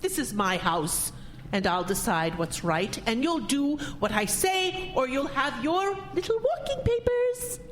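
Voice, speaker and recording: Woman acting a haughty, high-pitched wealthy spinster. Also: sing-song, unhurried, a little hiss or hum. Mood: furious, commanding. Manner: imperious, formal, prickly. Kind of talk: audio drama acting.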